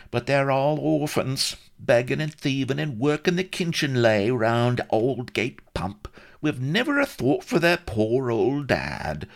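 A man's voice: mid-range voice, pitched above the performer's own voice. A scheming Cockney agent in a comic seafaring storybook. He is prim, wheedling and full of aggrieved self-pity. The voice rides well above the reader's own and swoops animatedly. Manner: indignant, wheedling, mock-respectful.

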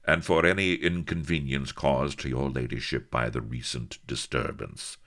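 A man's voice: extremely low pitch, steady tone, moderate pace, fairly bright tone. A man voicing a stiff, formal English naval captain with old-fashioned manners.

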